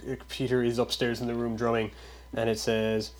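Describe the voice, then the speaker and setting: A man's voice: medium pitch, completely flat delivery, a little fast. A man in casual chat who laughs often, reads a quote aloud, and makes blunt remarks.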